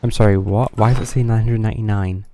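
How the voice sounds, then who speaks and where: A man's voice: lowish voice, fairly even intonation, fast, warm tone. A man doing casual livestream game commentary, muttering to himself in a wry, understated way. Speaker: game streamer. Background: faint game music.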